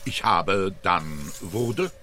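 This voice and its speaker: A brooding male artist in a radio drama, full of self-doubt. His forceful, sharp voice swings widely in pitch and moves slowly, in broken bursts. There is clear noise around him. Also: animated, full character voice. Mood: strained, halting. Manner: halting, fragmented speech with abrupt outbursts. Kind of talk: stylised radio play acting.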